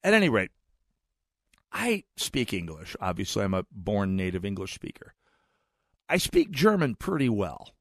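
A man's voice: on the low side, wildly swooping pitch, moderate pace, vocal fry, pauses often, dry recording, studio quality. An American man, middle-aged, hosting a talk radio show in a chatty, digressive monologue with frequent restarts. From United States. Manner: chatty, digressive, repeats words when restarting.

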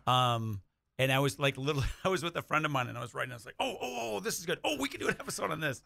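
An American man with a bright voice and a gravelly creak, swapping stories in a casual studio chat. He acts out other people's remarks as he goes. The sound is close and dry. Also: medium pitch, animated, racing, dry recording. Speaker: radio play writer and guest. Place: radio studio.

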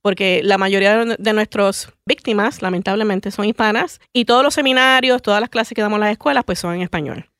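A woman's voice: mid-range voice, fairly quick, hardly any pauses, a bit bright, dry recording, studio quality. A woman police officer who is a guest on an interview, talking eagerly and explaining at length.

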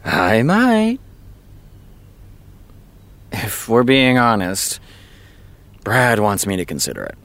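A high-strung, disillusioned American writer in a podcast drama. The delivery swings widely in pitch, emphatic and exasperated, yet measured in pace and exact in wording. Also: male voice, huge pitch swings, a bit bright, full character voice. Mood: weary. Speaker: voice actor. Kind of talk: naturalistic fiction podcast dialogue.

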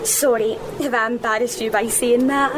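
A woman plays a breezy, bossy schoolgirl with a high-pitched voice, talking as if to her phone camera. She chats and explains casually in a radio drama. There is clearly audible ambient noise behind her. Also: steady tone, moderate pace, close to an everyday voice. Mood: chatty explaining. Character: bossy, chatty island schoolgirl vlogger named Lily.

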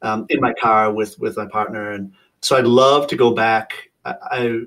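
A man's voice: lowish voice, normal speed. A man talking as a podcast guest, telling stories casually and filling his speech with "you know" and "like". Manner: lively storyteller, lots of "you know" and "like". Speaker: guest, long-distance traveler. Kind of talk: casual conversation.

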